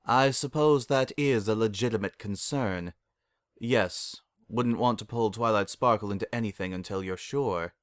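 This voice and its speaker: A man's voice: lowish voice, moderate pace, smooth, dry recording, clean studio sound, lightly acted. A man voicing a stern, imperious female pony princess of the night with formal, archaic, commanding speech. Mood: measured, regal.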